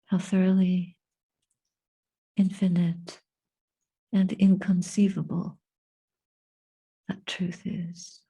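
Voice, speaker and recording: A woman giving a guided meditation and reflection as a Buddhist teacher, speaking softly and breathily in measured, contemplative phrases with long pauses. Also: fairly low pitch, little pitch movement. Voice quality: soft, breathy.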